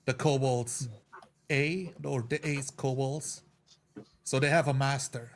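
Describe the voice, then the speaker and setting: Male roleplay player, flat even delivery, faint background noise on the mic. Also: medium pitch, slow pace. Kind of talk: in-character roleplay, narrating own actions.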